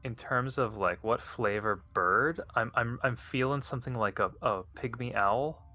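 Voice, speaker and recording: A man at a tabletop game, describing his character in a halting way, often restarting his words. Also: medium pitch, sharp tone, faint music behind. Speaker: player. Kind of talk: casual chat.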